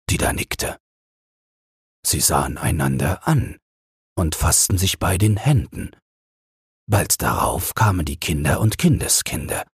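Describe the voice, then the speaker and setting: A man reads a fairy tale as a slow bedtime narration. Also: rumbling low, breathy edge, no echo, studio quality. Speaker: audiobook reader.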